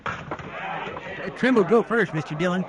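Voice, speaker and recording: High-voiced folksy Western sidekick. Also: male voice, unhurried, crowd noise, rough recording. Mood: anxious. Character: excitable, folksy deputy of a Western marshal. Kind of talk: 1950s radio western acting.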